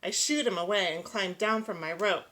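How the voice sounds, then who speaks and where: A woman reading a children's picture book aloud, giving the rhyming verse an expressive, rhythmic delivery. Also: medium pitch, normal speed. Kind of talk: children's storybook read-aloud.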